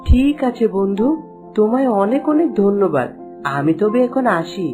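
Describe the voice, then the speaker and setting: A woman's voice: mid-range voice, very animated, moderate pace, over music, natural voice. Woman voicing a curious young village boy. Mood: reassuring. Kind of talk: children's cartoon story dialogue.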